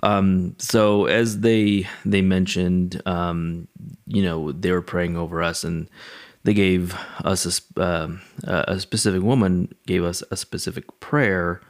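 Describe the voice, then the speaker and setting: Deep-voiced man giving a casual devotional. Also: fairly even intonation. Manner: halting, reflective, conversational. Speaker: pastor or devotional speaker. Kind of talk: casual spoken devotional with personal storytelling.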